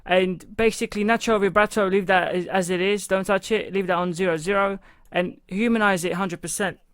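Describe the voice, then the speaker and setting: A man's voice: high-pitched, steady tone, brisk, fairly bright tone, clean tone, no room sound. A man hosting a music production tutorial in a home studio. He talks loosely through each step into a studio microphone, with lots of "you know" and "like". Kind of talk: casual step-by-step software tutorial. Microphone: studio microphone into a recording channel.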